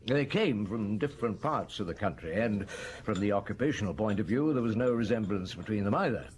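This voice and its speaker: An actor playing a patient, methodical middle-aged police inspector in a radio drama, reasoning aloud. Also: male voice, lowish voice, lively intonation, fairly quick, darkish tone, natural voice. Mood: deliberate. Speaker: detective.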